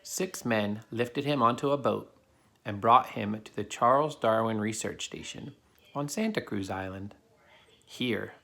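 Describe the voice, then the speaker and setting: A man's voice: on the low side, gravelly, a bit bright. A man reading a children's picture book aloud as a father would to his child, in a plain storytelling way.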